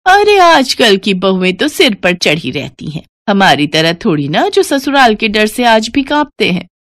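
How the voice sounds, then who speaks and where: A woman's voice: mid-range voice, very animated, unhurried, fairly bright tone, no echo, studio quality. A woman voicing a bossy, scolding older rural aunt-in-law in a cartoon family story. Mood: grumbling. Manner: complaining, domineering. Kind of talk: Hindi cartoon story voice acting.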